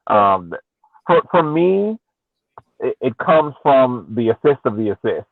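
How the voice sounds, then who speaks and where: Male panelist, casual sports analysis, medium pitch, thin radio-like call audio. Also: very animated, slow pace, vocal fry, dry recording. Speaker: podcast panelist. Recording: tinny phone-like call audio.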